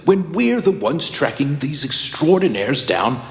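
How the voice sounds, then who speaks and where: An actor playing a deferential, earnest council member who speaks hesitantly in an audio drama. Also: male voice, on the high side, very animated, unhurried, soft background music. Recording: echoey hall with long reverb.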